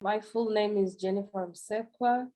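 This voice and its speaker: A woman at a medium pitch introduces herself and her work in a relaxed, unscripted way. She hesitates and repeats small words as she finds her phrasing. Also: unhurried. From Tanzania. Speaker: visual artist and environmental activist. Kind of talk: casual self-introduction.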